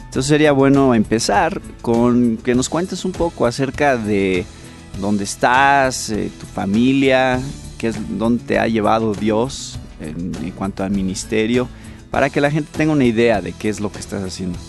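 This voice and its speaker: A man's voice: mid-range voice, moderate pace, creaky. Male radio host with music behind. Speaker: radio show host and interviewer. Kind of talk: conversational radio interview.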